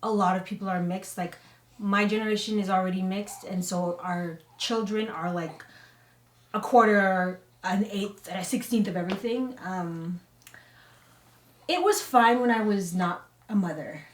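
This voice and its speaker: A young woman talks openly in a relaxed home chat and laughs easily. Her voice sits at an ordinary pitch for a woman. The room echoes, and she sounds a little far from the mic. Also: measured pace. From Canada, Toronto. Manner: chatty and candid, laughs easily. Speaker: vlog co-host, new mother. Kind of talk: casual sit-down chat.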